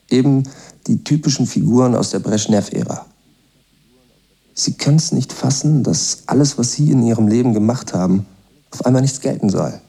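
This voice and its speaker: An actor playing a self-satisfied young Soviet rock musician in a radio drama, talking in blunt, offhand slang with a gravelly, husky voice. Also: male voice, on the low side, warm tone. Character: self-satisfied long-haired Soviet rock musician, laconic rebel son. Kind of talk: radio drama monologue, colloquial.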